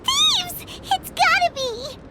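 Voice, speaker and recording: A woman voicing a tiny, excitable fairy companion in a video game, with a childlike, squeaky, exclamatory delivery. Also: slow pace, exaggerated voice. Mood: alarmed. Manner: refers to self in third person, exclamatory. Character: tiny excitable floating fairy companion girl, chatty and indignant. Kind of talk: video game character dialogue.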